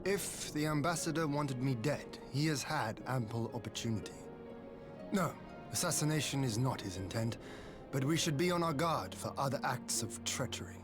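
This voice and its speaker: A composed young leader, voiced by a man, who speaks formally in an even, steady tone. Clear game music sits behind his voice. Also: medium pitch, normal speed, natural voice. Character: calm young male leader, noble and steady. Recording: game dialogue over background music.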